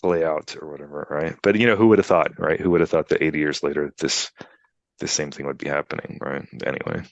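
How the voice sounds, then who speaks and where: A man's voice: extremely low pitch, fairly even intonation, fast, vocal fry, no echo, highs cut off. An American man in his fifties, telling his life story in a casual interview with lots of fillers and tag questions. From United States. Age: 50s. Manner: rambling, filler-heavy, frequent "you know" and "right?". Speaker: interview guest, attorney. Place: Poland, remote interview. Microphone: video call.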